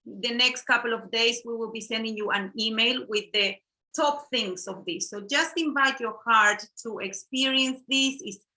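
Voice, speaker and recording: Woman, middle-aged, workshop co-host, slightly high pitch, very bright tone, halting speech, video call. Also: rough recording. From Chile. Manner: halting, with fillers and restarts. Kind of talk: casual online workshop hosting.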